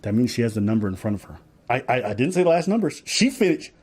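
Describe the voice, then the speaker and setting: A man's voice: medium pitch, very animated, quick pace, a little mellow, slight background noise. A man hosting and commentating on an interview clip, pointed and questioning. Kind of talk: commentary on played clips.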